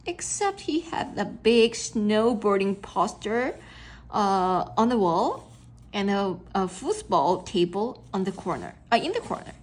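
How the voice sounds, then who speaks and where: Woman, storybook read-aloud, husky tone, animated pitch, expressive delivery, occasional stumbles. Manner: expressive, with stumbles over words. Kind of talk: children's storybook read-aloud in a young boy narrator's first person.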